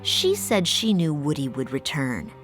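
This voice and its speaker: A woman narrating a children's read-along storybook in an expressive, measured scripted style, with music behind her. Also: sits low.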